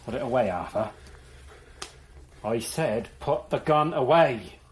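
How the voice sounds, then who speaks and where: An actor playing a firm, curt village publican in a radio drama. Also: male voice, medium pitch, animated, slow pace, a little hiss or hum.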